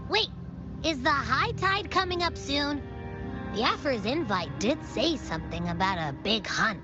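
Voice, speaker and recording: A woman's voice: high voice, lively intonation, measured pace. A woman voicing a small, chirpy, curious girl companion in a fantasy video game, chatty and informal. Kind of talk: animated fantasy game dialogue. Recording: muffled game audio under music.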